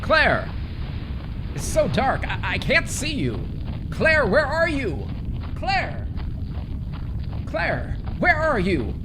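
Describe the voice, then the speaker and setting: An actor playing a cold, selfish, middle-aged scientist husband in an impatient, condescending manner in an old-time radio horror drama. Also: male voice, very high voice, huge pitch swings, slow, pauses often, background noise, heavily acted. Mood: panicked.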